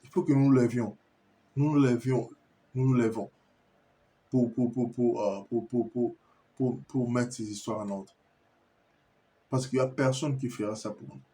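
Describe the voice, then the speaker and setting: Congolese French man, mellow and warm tone, insistent and repetitive, stumbles over words. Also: mid-range voice, steady tone, unhurried, pauses often. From Kinshasa, Congo. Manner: insistent, repetitive, stammers when searching for words. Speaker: commentator addressing viewers. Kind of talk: impassioned video monologue.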